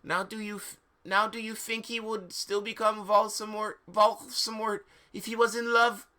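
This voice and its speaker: A high, sharp-voiced witch professor from a fan fiction story, played by a man. She is melodramatic and urgent, delivering prophecies with theatrical solemnity. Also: little pitch movement, normal speed. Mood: solemn, commanding. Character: melodramatic female witch professor and fortune-teller.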